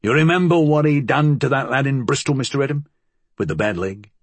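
A man voicing a huge, shaggy, wary old crook in an audiobook, with a rough dialect. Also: mid-range voice, no echo, pitched above the performer's own voice, close to an everyday voice. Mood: wary reminiscing. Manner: rough dialect, slow and appraising.

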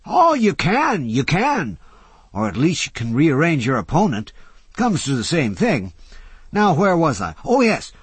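A man's voice: fairly high pitch, huge pitch swings, a little slow, slightly rough, no room sound, pitched above the performer's own voice, heavily acted. A man voicing a cranky old man who grandly declaims, with glee, that mankind is doomed. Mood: gleeful.

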